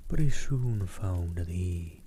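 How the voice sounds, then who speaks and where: A man from the Netherlands reading a novel aloud in a soft, hushed ASMR style on a close microphone. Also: low voice, lively intonation, slow pace, slight background noise. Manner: soft, hushed, often whispered.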